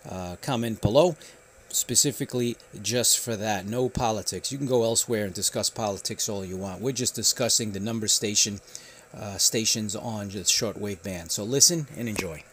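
A man from the United States hosting a radio hobby channel, giving a casual news update in a halting, informal way. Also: fairly low pitch, a bit bright. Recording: faint background noise.

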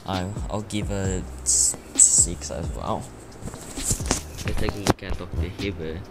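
A man's voice: deep voice, fairly even intonation, takes it slowly. A young man, an Afrikaans speaker, giving rambling casual gameplay commentary. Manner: rambling, filler-heavy. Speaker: game youtuber. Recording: voice-over with loud game music.

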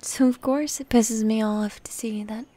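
A woman playing a jealous, needy elf wife in an intimate ASMR roleplay, speaking softly and haltingly with a sulky edge. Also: fairly high pitch, fairly even intonation. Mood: jealous, annoyed. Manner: soft, breathy, halting, sulky delivery. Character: jealous, possessive, needy tsundere elf wife, female warrior.

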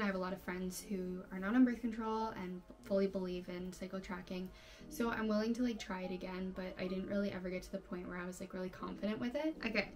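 A woman in her late twenties hosting her own channel, chatting casually at home and reading out viewer questions from a fixed camera in a bedroom. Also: mid-range voice. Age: 20s. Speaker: channel host. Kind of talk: casual chat.

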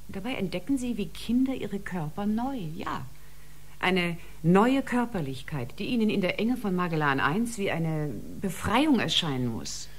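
A woman playing a humane, principled ship's officer who argues politely in a science fiction radio play. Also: fairly low pitch. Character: humane, principled female officer, politely objecting to her commander. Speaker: actor in an audio drama.

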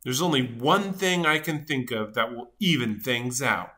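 A woman's voice: a bass voice, a little slow, sharp tone, pitched below the performer's own voice, full character voice. A woman voicing a grumpy, dramatic but good-hearted male sunflower seed in a children's storybook, with theatrical, self-important delivery. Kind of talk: animated children's storybook read-aloud.

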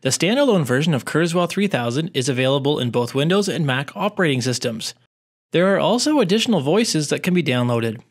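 A man presenting a scripted tutorial in a clear, instructional way. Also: on the high side. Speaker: tutorial presenter, educational technologist.

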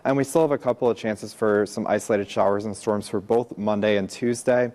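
A man's voice: on the low side, fairly even intonation, a little fast, a little mellow. An American man giving a weather forecast on television in a conversational broadcast style.

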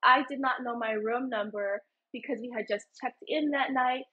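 A woman's voice: a little high, moderate pace, husky. A woman from Hawaii, a co-host in a casual panel chat over a video call, telling stories earnestly. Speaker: podcast co-host. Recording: muffled lo-fi call audio.

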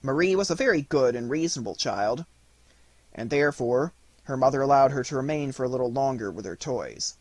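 A man's voice: mid-range voice, animated, fairly quick, dry recording. A man reading an audiobook, giving scripted storybook narration. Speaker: audiobook reader.

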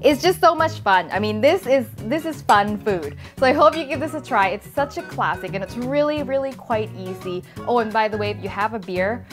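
A woman in her thirties from Thailand hosts a cooking show, chatting warmly to viewers as she pounds a salad in a home kitchen. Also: a little high, lively intonation, normal speed, few pauses, over music. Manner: chatty and upbeat, talks to viewers while pounding in a mortar. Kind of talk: cooking demonstration.

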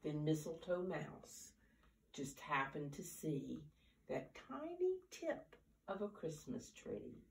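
An older woman in her seventies reading a picture book aloud to preschoolers in an animated, deliberate storyteller's manner, with drawn-out emphasis. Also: low-pitched, gravelly. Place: living room, indoors. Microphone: camera a few feet away. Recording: roomy, distant camera microphone.

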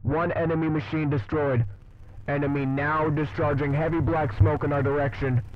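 A man's voice: a little high, level tone, normal speed, close to an everyday voice. A man playing a military radio operator relaying formal, clipped reports in a radio play. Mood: urgent. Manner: clipped, formal call-ups. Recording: dull lo-fi radio sound.

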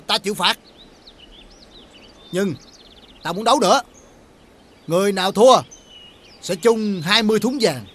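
An actor with a Southern Vietnamese accent playing a haughty, blustering marquis in a fairy-tale costume film, theatrical and halting. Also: male voice, high-pitched, a bit bright. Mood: grudging, defiant. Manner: theatrical, halting with drawn-out hesitations. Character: haughty, blustering male nobleman marquis, sore loser.

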